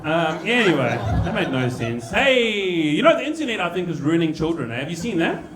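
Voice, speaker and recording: A man's voice: fairly high pitch, big pitch swings, fairly quick, over music. A man with a South African accent performing stand-up comedy on stage. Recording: echoey live comedy club, audience noise. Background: live audience.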